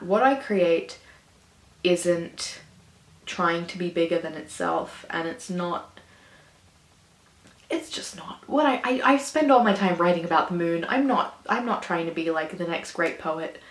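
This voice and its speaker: Rambling Australian woman, low voice. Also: animated, unhurried, a bit bright. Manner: rambling, hesitant, self-conscious, many fillers. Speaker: vlogger. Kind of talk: reflective personal monologue. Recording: echoey room.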